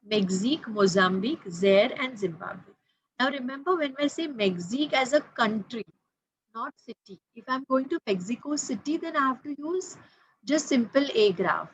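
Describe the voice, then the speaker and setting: Lady teacher explaining french grammar, patient, spells stuff out, kinda high voice. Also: animated, normal speed, a little hiss or hum, rough recording. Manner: patient, repeats key points, spells out letters.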